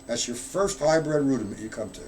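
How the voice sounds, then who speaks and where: Relaxed man teaching drums live. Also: mid-range voice, fairly quick, a little hiss or hum. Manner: loose, conversational, answers viewers in chat. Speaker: drum teacher. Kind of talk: live-streamed drum lesson.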